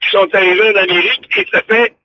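An older male radio host with a Quebec French accent, heard from a distance through a dull, band-limited line. His voice is high for a man, and he rambles along in casual, exclamatory chat with a faint noise behind him. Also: steady tone, fast talker. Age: 60s or older.

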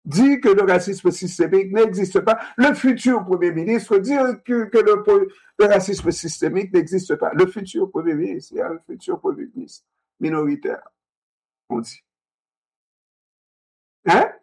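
A man in a discussion, talking in an animated way, repeating himself and asking rhetorical questions. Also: sits high, a little slow, poor audio.

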